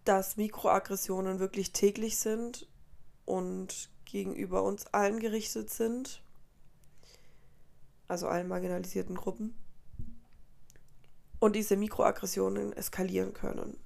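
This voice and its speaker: A woman in her late twenties hosts her own podcast in a soft, rambling monologue. She sounds tired and often corrects herself mid-thought. Her voice has a gravelly, fry-touched edge at a middling pitch. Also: little pitch movement, unhurried, pauses often. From Colombia. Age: 20s. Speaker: podcast host. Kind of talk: casual personal monologue.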